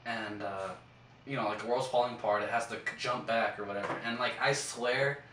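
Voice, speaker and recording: Young male podcaster, animated storyteller, bright tone, echoey room with faint noise. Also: medium pitch, fairly quick. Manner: animated storyteller, swears casually, acts out moments. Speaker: podcast co-host. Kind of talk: casual film chat. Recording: roomy, distant mic, faint background noise.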